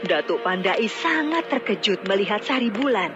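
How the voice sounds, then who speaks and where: A woman's voice: mid-range voice, big pitch swings, moderate pace. A woman narrating a scripted fairy tale as a storyteller. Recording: dull lo-fi audio, music bed. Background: background music.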